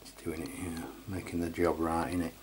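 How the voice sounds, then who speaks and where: A middle-aged Englishman with a low-pitched voice talks through a piece of equipment. He is informal and matter-of-fact, in the casual style of a hands-on video review. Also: level tone, fast, darkish tone, slight background noise. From England. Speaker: radio review channel host.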